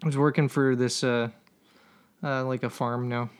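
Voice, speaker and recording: A man's voice: medium pitch, level tone, normal speed, clean tone. A man co-hosting a comedy podcast, crude and laughing often, throwing "dude" around. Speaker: podcast co-host. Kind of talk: casual comedy podcast banter. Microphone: studio microphone.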